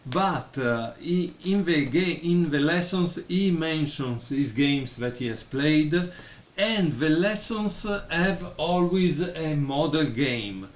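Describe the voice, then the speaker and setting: A man's voice: a little high, lively intonation, takes it slowly. Hesitant man, echoey room. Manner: hesitant, many fillers. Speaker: book reviewer. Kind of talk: casual video review. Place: room at home, storm outside. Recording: echoey room with faint noise.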